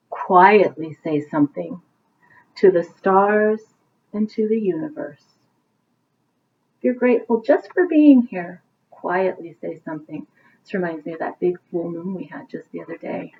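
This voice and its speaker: Lively woman reading aloud over thin, phone-like audio. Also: on the low side, unhurried, slight background noise. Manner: encouraging, explaining simply to young listeners. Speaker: teacher reading a bedtime story. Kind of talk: animated read-aloud of a picture book for children, with explanatory asides. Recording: thin, phone-like narrowband sound.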